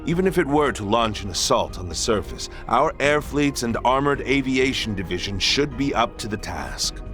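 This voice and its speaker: An actor playing a composed, resolute head of state in a video game, speaking formally and with authority. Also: male voice, medium pitch, expressive, normal speed, fairly bright tone, faint music behind, natural voice. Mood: composed, confident. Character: resolute, composed male president of a republic. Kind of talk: video game cutscene voice acting.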